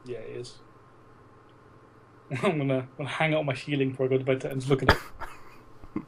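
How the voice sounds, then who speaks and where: A man's voice: mid-range voice, fairly even intonation, moderate pace, vocal fry, heavy background noise. A man co-hosting a casual film podcast, blunt and teasing, with plenty of swearing. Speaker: podcast co-host. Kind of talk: casual banter about films.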